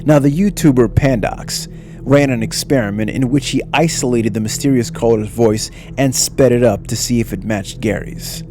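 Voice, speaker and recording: A man's voice: mid-range voice, normal speed, dark tone, soft background music. A man reading scripted narration for a mystery documentary.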